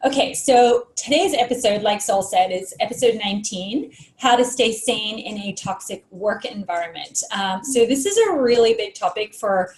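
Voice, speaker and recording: A woman's voice: medium pitch, lively intonation, normal speed, few pauses, a little mellow, reverberant, low-quality recording. A woman co-hosting a live stream, friendly and organized as she runs through the outline, with some ums. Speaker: co-host.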